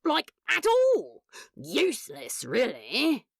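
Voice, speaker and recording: A woman's voice: sits high, huge pitch swings, takes it slowly, husky, dry recording, clean studio sound, exaggerated voice. A woman voicing a sly, flippant demon in an audiobook, arguing defensively with glib, emphatic protests.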